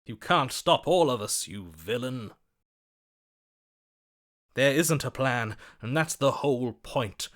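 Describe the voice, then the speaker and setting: A man's voice: medium pitch, animated, slow, long pauses, fairly bright tone. A man playing a florid, passionate, lovestruck romantic in a video game, speaking in a theatrical, grandiloquent manner. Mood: passionate, defiant. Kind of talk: video game character acting.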